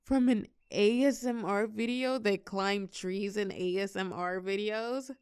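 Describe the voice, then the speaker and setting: A woman's voice: mid-range voice, steady tone, unhurried, darkish tone, clear, resonant tone, no room sound, natural voice. A young woman playing a shy, tender college girl confiding in a close friend, hesitant yet playful, in an intimate audio roleplay. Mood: playful, incredulous. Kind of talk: intimate scripted audio roleplay, one side of a conversation.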